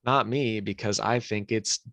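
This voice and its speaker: A man's voice: on the low side, fairly even intonation, unhurried, creaky, fairly bright tone, no echo, studio quality. A man co-hosting a podcast, joking easily in casual chat while just over a cold. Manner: joking, easygoing, often laughing. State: recovering from a cold. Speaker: podcast co-host.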